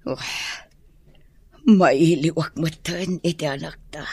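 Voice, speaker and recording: A woman playing a middle-aged, sorrowful mother in a radio drama, with a Filipino Ilocano accent and a husky voice. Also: low-pitched, steady tone, takes it slowly, dark tone, dry recording, full character voice. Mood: wistful, regretful. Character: sorrowful mother longing for her estranged child.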